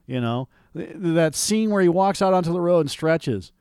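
A man's voice: a little high, expressive, normal speed, a little mellow, smooth, no room sound. A man ranting as co-host of a casual movie review show, blunt, scornful, profane and emphatic. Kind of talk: casual chat, opinionated ranting.

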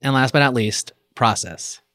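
A man hosting a design podcast, reading a scripted introduction and then asking a guest questions in a lively conversational way. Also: mid-range voice, normal speed. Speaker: podcast host. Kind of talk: podcast interview.

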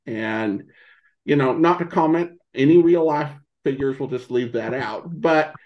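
A man in a casual interview as a podcast guest, talking haltingly and searching for his words. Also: medium pitch, lo-fi sound. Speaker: podcast guest, old-time radio podcaster.

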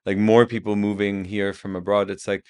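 A man in his thirties who grew up in Saudi Arabia, talking as a guest in a casual interview, halting with many restarts and fillers. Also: lowish voice, little pitch movement, a little mellow, clear, resonant tone. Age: 30s. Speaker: guest, agency founder.

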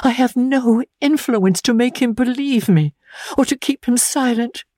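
Woman voicing a distressed gentle young wife, higher-pitched. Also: a little slow, no room sound, clean studio sound. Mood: pleading. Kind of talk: dramatic audiobook reading of classic novel.

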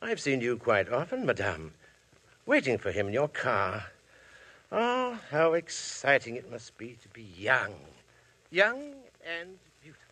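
A man's voice: medium pitch, huge pitch swings, a little slow, pushed and bright, slightly rough, heavily acted. An actor playing a scheming male blackmailer, silky and insinuating, in 1950s radio drama style. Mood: mocking. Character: oily scheming male blackmailer, middle-aged. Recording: old radio broadcast, faint hiss.

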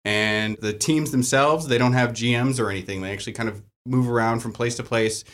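A man's voice: medium pitch, fairly quick, a bit bright. A man in casual podcast chat, explaining how he runs live-action games with dry humor. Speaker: larp game master.

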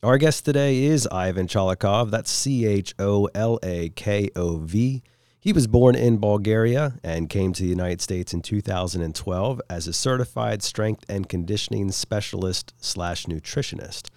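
A man hosting a podcast, introducing a guest and asking questions in a steady, measured way. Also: on the low side. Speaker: podcast host. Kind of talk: reading a guest introduction, then interviewing.

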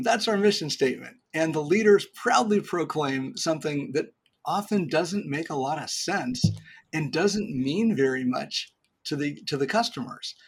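Gravelly husky-voiced man. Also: fairly high pitch. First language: English. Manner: animated, jokey explainer. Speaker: podcast guest. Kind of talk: conversational business advice.

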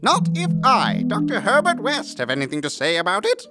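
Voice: medium pitch, wildly swooping pitch, brisk. Mad scientist, pompous, grandiose, hugely swooping delivery, gleefully irresponsible, comic audio drama, music underneath. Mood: grandiose, smug. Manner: theatrical, flustered, grandiose. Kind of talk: audio drama comedy acting.

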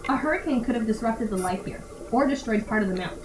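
Woman playing high-voiced earnest diver, echoey audio. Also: lively intonation, fast talker, close to an everyday voice. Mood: passionate. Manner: earnest, confident. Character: passionate, reassuring woman marine diver and camera operator. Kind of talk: 1930s-40s style radio drama acting. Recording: echoey, distant, noisy, watery ambience.

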